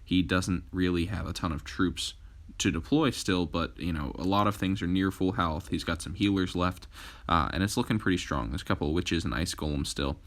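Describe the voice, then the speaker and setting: A young man hosting a gaming channel, giving casual gameplay commentary with frequent fillers, his voice a little hoarse from a cold. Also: deep voice, normal speed.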